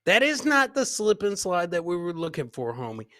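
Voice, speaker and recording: A man with a high, forceful voice hosts a comedy podcast, riffing casually on clips. He rambles jokingly and circles back to the same catchphrases, animated and exclamatory. The sound is close and dry. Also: normal speed, no room sound. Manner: rambling, jokey, repeats catchphrases. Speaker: host of a live comedy podcast. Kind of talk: casual live reaction commentary on funny clips.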